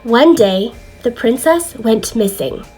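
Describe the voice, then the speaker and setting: A woman reading a children's book aloud in an expressive, deliberate, theatrical storytelling manner. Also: fairly high pitch, breathy edge, soft background music, rough recording.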